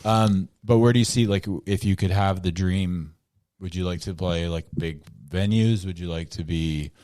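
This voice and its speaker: Deep-voiced man in his forties, loose and rambling as he co-hosts a band interview. he curses casually and circles back on his own thoughts. his voice is low, and the room sounds dry and close. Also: steady tone, moderate pace, darkish tone, dry recording. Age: 40s. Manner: rambling, swears freely. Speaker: podcast co-host.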